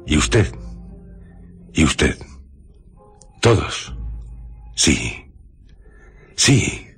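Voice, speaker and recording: A man with an extremely low voice narrates over music for a radio mystery show. His delivery is very slow and solemn, breaking phrases with suspenseful, theatrical pauses. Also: big pitch swings, gravelly, slightly rough. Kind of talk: dramatic scripted narration for a radio mystery programme. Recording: music behind the voice. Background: background music.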